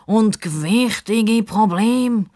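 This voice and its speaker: A woman voicing a self-important, dreamy male crocodile in a children's story, with a pompous, deliberate delivery and a Swiss German accent. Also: mid-range voice, dark tone, natural voice.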